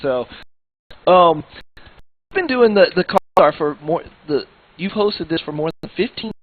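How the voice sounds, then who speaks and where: A man's voice: on the high side, lively intonation, a little slow, no echo. A man co-hosting a live radio show with casual, enthusiastic, informal talk. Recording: narrow phone-like sound, noisy background.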